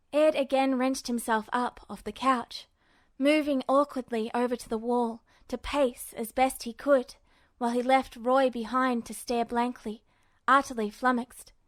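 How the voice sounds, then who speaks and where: Female fiction narrator, high-pitched, expressive scripted read, very dry sound. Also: dry recording.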